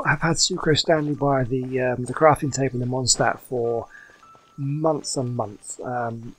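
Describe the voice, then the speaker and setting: Man, husky voice, medium pitch, casual gaming commentary, halting, rambling delivery. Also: rough recording. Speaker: video game streamer. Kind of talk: casual game commentary, reading dialogue aloud.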